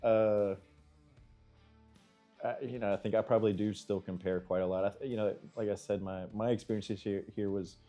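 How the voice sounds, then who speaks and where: Guest: American man, low and mellow, halting and reflective, faint music behind. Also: fairly even intonation, lo-fi sound. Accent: American English. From United States. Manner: thoughtful, halting, clears his throat. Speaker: interview guest, engineer. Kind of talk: reflective interview answers.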